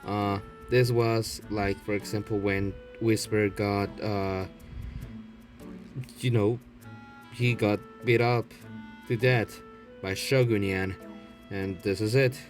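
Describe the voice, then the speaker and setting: Guy w lowish voice doing a sweary unscripted vlog, rambly, music in the bg. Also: steady tone, takes it slowly, pauses often. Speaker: video blogger showing a toy collection. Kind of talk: unscripted casual show-and-tell.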